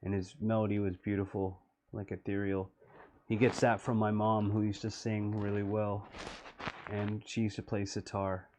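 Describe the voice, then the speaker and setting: A middle-aged man talking through his own music video, slow and wistful. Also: little pitch movement, a little mellow, poor audio. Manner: low-voiced, slow and wistful. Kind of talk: reflective commentary.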